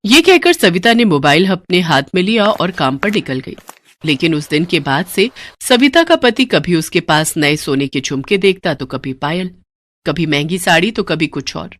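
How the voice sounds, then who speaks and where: A woman's voice: lowish voice, very animated, normal speed, fairly bright tone, dry recording. A woman narrating a scripted cartoon story in a dramatic storytelling style.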